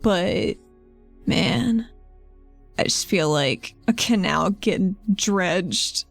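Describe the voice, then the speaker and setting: Female tabletop player, casual improvised roleplay, average pitch. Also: very slow, creaky, dry recording, soft background music.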